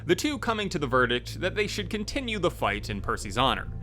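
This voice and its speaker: A man narrates a scripted video review. His voice is bright and a little high, with animated intonation, recorded close over faint music. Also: a little fast. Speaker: host, game reviewer.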